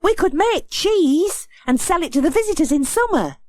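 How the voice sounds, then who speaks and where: A woman as an eager little boy, very high-pitched. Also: animated, moderate pace, a little mellow, no echo. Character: eager, earnest young boy, postman's son. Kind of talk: audiobook character acting.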